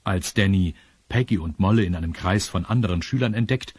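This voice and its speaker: A man with a husky voice reading scripted narration for a children's audio story. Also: deep voice, a little fast, no echo. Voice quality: husky, raspy. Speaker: narrator of a children's radio play.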